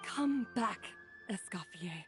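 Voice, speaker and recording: A woman playing an earnest, self-blaming young head chef in an anime video game, speaking haltingly. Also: medium pitch, lively intonation, faint music behind. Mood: guilt-ridden.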